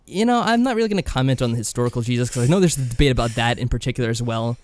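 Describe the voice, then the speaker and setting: Male podcast co-host, wry and tongue-in-cheek, casual recap delivery, mid-pitched, very dry close recording. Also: lively intonation, very fast, no echo. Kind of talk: casual discussion and game recap.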